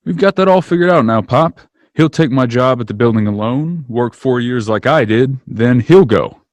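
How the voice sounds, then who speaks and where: An eager young man bound for college, acted by a man. His voice is mid-pitched and recorded dry, with an earnest, folksy old-time radio drama delivery. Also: lively intonation, normal speed, dry recording, natural voice. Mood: eager, affectionate.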